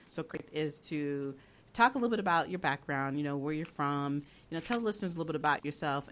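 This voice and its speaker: A woman's voice: low voice, a little hiss or hum. A woman co-hosting a casual podcast, chatty and bubbly, laughing easily as she introduces and questions a guest. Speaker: podcast co-host.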